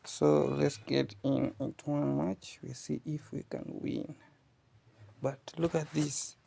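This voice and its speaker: Man, Kiswahili speaker, unhurried, monotone, gravelly, patient gaming tutorial host. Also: a little high, slight background noise. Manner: explains patiently to viewers, often asking "you see?". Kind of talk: casual gameplay tutorial commentary. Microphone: phone recording.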